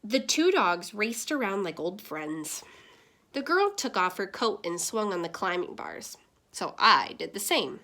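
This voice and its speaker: A woman's voice: medium pitch, big pitch swings, unhurried, pushed and bright. A woman reading as a lonely, shy young boy in a storybook, with a wistful, simple manner. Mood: shyly pleased. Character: lonely, bored, shy young son of a posh mother.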